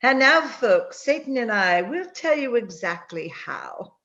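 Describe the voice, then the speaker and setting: A woman's voice: fairly high pitch, lively intonation, a little slow, a bit bright, dull audio. A woman playing a gleeful old witch storyteller who cackles and relishes frightful tales in a 1930s radio horror style. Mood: gleefully sinister.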